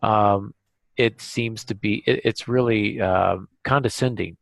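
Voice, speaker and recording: Male podcast host, low creaky voice, close dry sound, halting and reflective conversational delivery. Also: a bit bright, no echo. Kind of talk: reflective casual podcast discussion.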